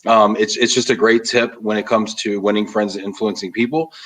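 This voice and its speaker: A man hosting a casual interview, talking in an animated, emphatic way as he gives advice. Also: fairly low pitch, a little fast, far from the mic, poor audio. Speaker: host. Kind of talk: casual interview and live chat.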